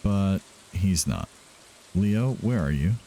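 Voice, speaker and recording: A man's voice: low-pitched, animated, slow pace, warm tone, a little hiss or hum, rough recording. A man doing casual let's-play commentary as a gaming host, chatting to himself and to the game's characters. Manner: talks to himself and to game characters.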